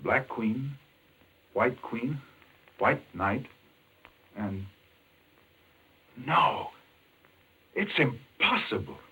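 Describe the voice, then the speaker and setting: A man's voice: medium pitch, animated, very slow, long pauses, bright, forceful tone, slightly rough, full character voice, low-quality recording. An actor playing a shrewd, dry, brooding man in a 1940s radio crime drama. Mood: grim, brooding.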